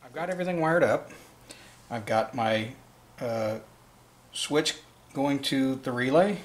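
A man's voice: medium pitch, slow pace. A man explaining a hands-on task step by step in an informal, unscripted way, as the presenter of a DIY tutorial. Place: indoors at a work table in a room.

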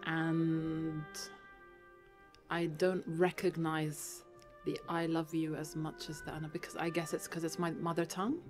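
A woman's voice: deep voice, moderate pace, over music. A young woman from Lebanon, a composer, talking animatedly in a podcast interview and laughing often. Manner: animated, laughing often, switching between languages. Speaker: composer guest. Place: room with Christmas trees. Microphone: studio mic on boom arm.

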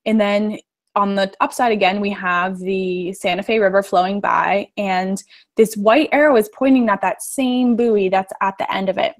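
Young American woman explaining, casual and clear. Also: medium pitch, fairly even intonation, normal speed. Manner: casual, explains step by step in simple terms. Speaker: photographer and cave diver giving a classroom talk. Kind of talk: informal explanatory presentation to students. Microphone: live stream video call.

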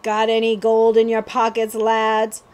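A woman's voice: a little high, monotone, unhurried, a little mellow, clean tone, natural voice. Woman putting on a sly, cackling bony cutthroat. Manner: cackling, snickering, backwards folksy phrasing. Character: bony rattling male cutthroat sidekick, sly and jeering.

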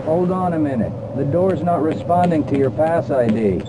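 Actor as helpful male game guard, casual and unfussy, slightly high voice, heavy noise behind. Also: animated, normal speed, lightly acted. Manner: casual, matter-of-fact. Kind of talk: video game voice acting.

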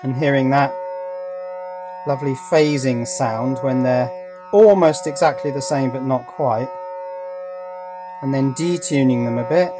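A man's voice: mid-range voice, unhurried, pauses often, over music. A man giving a casual hands-on electronics demonstration, thinking aloud a little hesitantly as he goes. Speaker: electronics hobbyist presenter. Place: indoors at a workbench.

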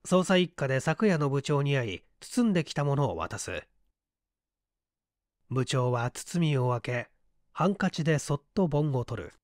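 Expressive male audiobook narrator, dry sound. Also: mid-range voice, unhurried, no room sound. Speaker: audiobook reader. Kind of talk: scripted story narration.

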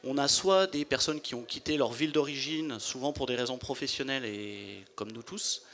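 A man in his forties, a company founder, explaining his business in a measured way into a handheld mic at a trade fair studio booth. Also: mid-range voice, a bit bright.

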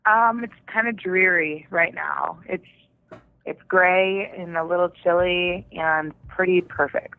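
A woman from the Detroit area answering interview questions over the phone, relaxed and halting. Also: fairly low pitch, gravelly. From Detroit area, Michigan. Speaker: vocalist, interview guest. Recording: tinny phone line.

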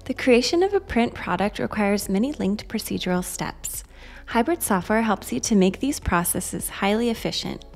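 Woman narrating a software tutorial over music. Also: mid-range voice, lively intonation, moderate pace, gravelly. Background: background music.

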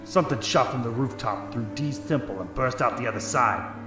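A man's voice: medium pitch, a little fast, natural voice. A man playing a hard-boiled detective, telling his story in terse, dramatic first-person noir narration. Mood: tense recounting. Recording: echoey room, music underneath. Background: music.

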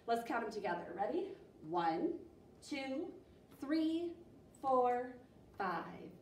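An American woman in her thirties leading a children's storytime, speaking in an animated, encouraging way to young listeners. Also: on the high side, slow pace. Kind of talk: children's storytime reading and singalong. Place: small library program room, indoors. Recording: echoey room, distant mic, faint noise.

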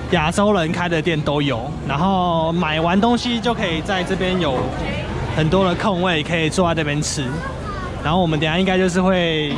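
A young man from Taiwan chatting casually and relaxed as he walks through a night market. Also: on the high side, brisk, over loud music. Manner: relaxed, chatty, many fillers. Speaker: vlogger. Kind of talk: casual walking-tour vlog narration. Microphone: handheld action camera. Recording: loud crowd and street noise. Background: crowd chatter and traffic.

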